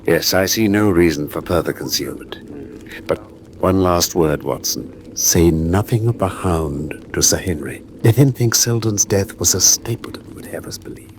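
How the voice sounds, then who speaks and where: Deep, grave detective. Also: male voice, a little slow, a little mellow, slight background noise. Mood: hushed, secretive. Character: grave, decisive male gentleman detective. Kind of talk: radio drama acting.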